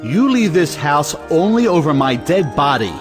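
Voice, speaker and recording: A man plays a domineering, excitable patriarch in an audio play. He declaims pompously in a fairly high male voice that swings widely in pitch. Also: moderate pace, over music. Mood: threatening. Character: domineering, excitable male head of a well-to-do family. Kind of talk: theatrical audio play acting.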